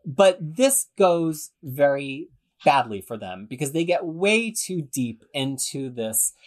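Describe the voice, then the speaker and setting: A man's voice: fairly high pitch, big pitch swings, slow. A man hosting a podcast discussion, steering the talk and handing over to the panel. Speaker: podcast host.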